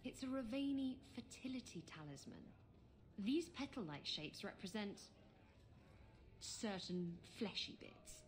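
An actress playing a flirtatious, irreverent female seafaring adventurer in a video game, with a teasing, unhurried delivery. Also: mid-range voice, very animated, slight background noise. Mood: amused, teasing. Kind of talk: video game dialogue acting.

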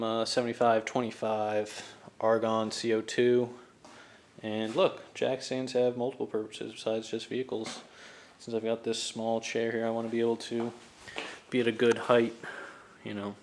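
A man giving a casual, halting, self-deprecating hands-on demonstration as a hobbyist vlogger. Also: medium pitch, a little mellow. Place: garage workshop. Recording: clear ambient workshop noise.

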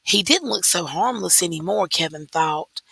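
A woman teacher reading a story aloud slowly and deliberately into a computer microphone. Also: lowish voice, lively intonation, creaky, fairly bright tone, slightly rough, no echo. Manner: slow, deliberate read-aloud.

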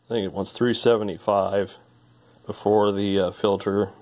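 Low-voiced man musing casually. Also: level tone, normal speed, a little mellow. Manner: informal, musing aloud. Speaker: hobbyist electronics repairer. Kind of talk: casual hands-on repair commentary. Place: indoor workbench.

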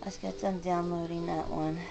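A woman's voice: on the low side, little pitch movement, moderate pace, vocal fry, over music, rough recording. A middle-aged woman, talking casually and bluntly in a rambling, aggrieved way to camera at home. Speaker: home vlogger. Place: rooms of a home, indoors.

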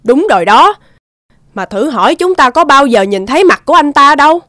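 A woman voicing a hardworking, aggrieved female water buffalo in an animated fairy tale. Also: high voice, fairly quick, no echo, studio quality.

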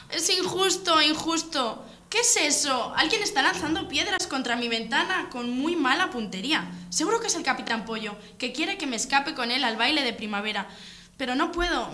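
A woman's voice: high-pitched, pushed and bright. A woman playing an indignant, melodramatic teenage schoolgirl in a radio serial, with an accent from Spain. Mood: distraught, then excited. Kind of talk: Spanish radio serial acting. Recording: echoey room with background noise.

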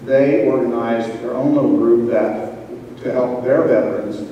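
An older man from a small town giving an informal local history talk in a relaxed, halting way with many fillers. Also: mid-range voice, dull audio, slight background noise. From Wellington, Kansas. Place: large school auditorium. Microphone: handheld mic, recorded from a far camera. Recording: echoey hall from far off.

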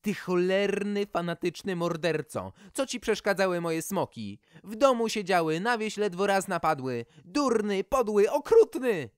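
High-pitched young maiden, played by a man, doting, hot-tempered, dramatic, storybook, unechoed. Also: animated, a little slow, hardly any pauses, clear, resonant tone, dry recording, clean studio sound. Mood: furious. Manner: cooing, wailing and scolding. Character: doting, shrill young maiden raging over her dragons.

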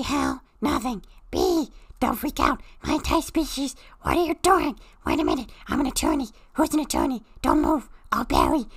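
Small cartoon mushroom creature, excitable, very hoarse and croaky, wildly swooping pitch, theatrical parody reading. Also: female voice, dry recording, full character voice. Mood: loud, agitated. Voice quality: raspy, strained, breaking into gravel and whisper. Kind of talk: parody reading of a film script.

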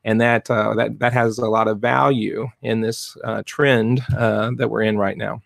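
A middle-aged man, soft-spoken and rambling with many fillers, coaching art teachers in a casual live stream from his home studio on a desk mic. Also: fairly low pitch, flat delivery, a little slow, creaky, dry recording. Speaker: fluid art business coach. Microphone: desk mic in front of webcam.

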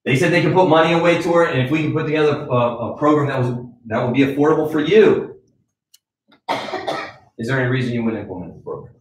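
A man in his forties leading a live sales training in a conference room, with direct, animated coaching and frequent check-ins. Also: medium pitch, quick pace, slight background noise. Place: conference room with a wall screen. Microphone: far camera. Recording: echoey room from far off.

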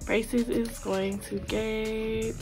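A woman talks slowly and unhurriedly, her sore throat keeping her voice low. She counts money aloud in a chatty, casual way. Clear music plays behind her. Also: level tone. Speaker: budgeting channel host. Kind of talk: casual voice-over while counting cash. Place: tabletop at home. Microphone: overhead camera, hands only.

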